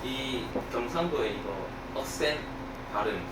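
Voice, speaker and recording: A man's voice: mid-range voice, expressive, measured pace, reverberant, very noisy. A man asking a guest polite interview questions.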